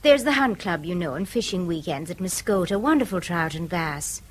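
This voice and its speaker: An actress playing a wealthy, outspoken North American wife in a British radio drama, effusive, chatty and socially confident. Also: female voice, on the low side, expressive, moderate pace. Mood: chatty, breezy. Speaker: actor in a radio play.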